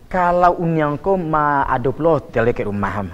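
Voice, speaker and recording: A man's voice: a little high, animated, moderate pace, long reverb tail, dull audio. A man co-hosting a studio talk show, joking and teasing as he asks questions, speaking into a handheld mic. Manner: joking, teasing, mixes local words. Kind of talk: playful studio banter. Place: television studio set.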